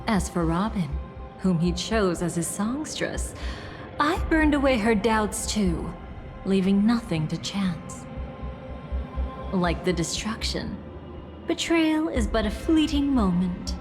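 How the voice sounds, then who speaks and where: Sly trickster girl, video game, playful and mocking, middle-pitched voice, clear music behind. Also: animated, takes it slowly. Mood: taunting. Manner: playful, mocking, dramatic swings in tone. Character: scheming theatrical young woman, gleeful and greedy.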